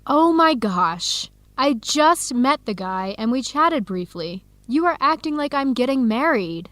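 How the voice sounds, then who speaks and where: An actress with an American accent playing an upbeat young Ethiopian American researcher in a radio drama, speaking in a clear, chatty, expressive way. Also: female voice, sits high, measured pace, no room sound. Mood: defensive. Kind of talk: scripted radio drama dialogue for English learners.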